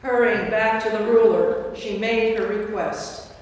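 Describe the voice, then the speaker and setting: A woman's voice: medium pitch, steady tone, a little slow. A woman giving a scripted dramatic narration of scripture as part of a staged reading. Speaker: narrator, priest. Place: large indoor church. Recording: echoey church hall from far off.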